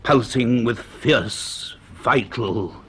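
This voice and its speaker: A man giving deliberate, suspenseful scripted narration for a radio drama. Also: mid-range voice.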